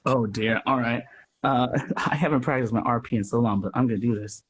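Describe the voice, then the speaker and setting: A man's voice: mid-range voice, fairly even intonation, a little fast, slight background noise. A man chatting casually as an interview guest, talkative and self-deprecating, on a live video call. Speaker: guest, audio drama podcast maker.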